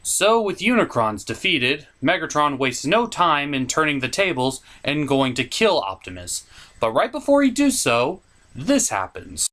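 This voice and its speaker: A man's voice: fairly high pitch, sing-song, measured pace, fairly bright tone. A man hosting a video review, giving enthusiastic, theatrical commentary with emphatic, stretched-out words.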